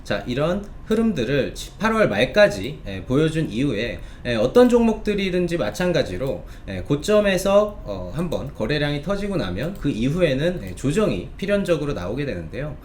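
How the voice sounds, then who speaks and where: A man hosting a stock review show, addressing online viewers in polite, formal speech with drawn-out hesitations between phrases. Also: fairly high pitch, very animated, darkish tone, slight background noise.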